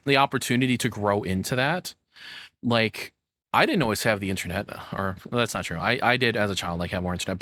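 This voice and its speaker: A man's voice: lowish voice, fairly bright tone, no room sound. A young man giving casual reaction commentary as a streamer, rambling and frank with some swearing.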